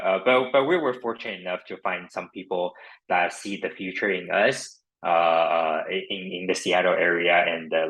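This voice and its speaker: A man's voice: deep voice, fairly bright tone, low-quality recording. A man from Taiwan with a Taiwanese accent chats casually as an interview guest, hesitant and leaning on filler phrases like "you know". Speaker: crypto startup founder and podcast guest.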